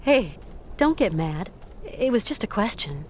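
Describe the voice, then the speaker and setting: A determined American woman adventurer in a game. Her mid-pitched voice is earnest, kindly and conversational, with a faint ambient noise behind it. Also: sing-song, measured pace. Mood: gentle, reflective. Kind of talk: video game voice acting.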